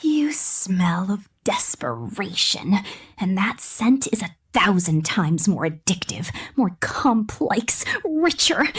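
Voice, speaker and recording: A woman voicing a possessive, cold female killer drone robot in a breathy, slow, menacing whisper for an ASMR roleplay. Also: sits high.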